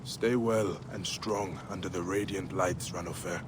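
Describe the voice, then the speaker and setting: A man playing a solemn, dutiful ancient warrior in a video game, speaking gravely and formally. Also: deep voice, fairly even intonation, background noise. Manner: grave, measured, formal speech. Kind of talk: dramatic video game acting.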